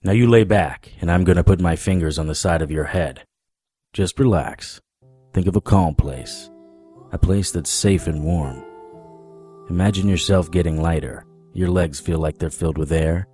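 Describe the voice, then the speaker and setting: A man acting as a world-weary paranormal investigator with a low voice. He narrates his own case like noir radio drama, wry and deadpan, gentle when talking to children. Music plays behind him. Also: normal speed, natural voice. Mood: calm, reassuring. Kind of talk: first-person noir audio drama narration.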